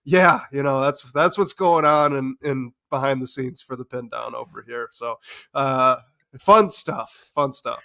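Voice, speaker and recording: An American man co-hosting a casual sports podcast, a bit hoarse and gravelly from being sick, talking in an easygoing, rambling way. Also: medium pitch, fairly even intonation, a little slow, pushed and bright, no echo, clean studio sound. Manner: easygoing, rambling, frequent restarts. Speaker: podcast co-host.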